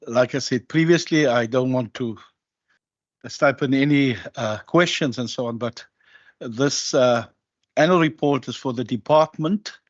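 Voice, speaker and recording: A man chairs a committee, briskly handing the floor to officials. The sound is dry and dull. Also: mid-range voice, dry recording. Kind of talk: chairing a hearing.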